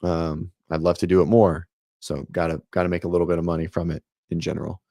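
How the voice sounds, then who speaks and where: A man with a raspy voice hosting a casual fitness livestream from his home office, rambling with many ums and restarts. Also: low-pitched, level tone, fast, warm tone, dry recording, studio quality. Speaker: host of a fitness livestream show. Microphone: set-up studio mic.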